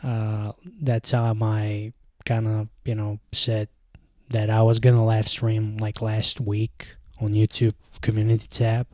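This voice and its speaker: A man's voice: lowish voice, monotone, slow, few pauses, a little mellow, no room sound, clean studio sound. A young man with a Bulgarian accent, chatting on a casual live stream, rambling and hesitant, with lots of fillers, into a handheld mic at home. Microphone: handheld microphone close to mouth.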